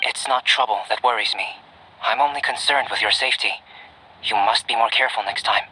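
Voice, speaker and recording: Composed, crisp-edged young scholar. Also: male voice, fairly low pitch, pushed and bright, a little hiss or hum, low-quality recording. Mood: calm, concerned. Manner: measured, reserved, articulate. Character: calm, scholarly young male alchemist, composed and thoughtful. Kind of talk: video game voice acting.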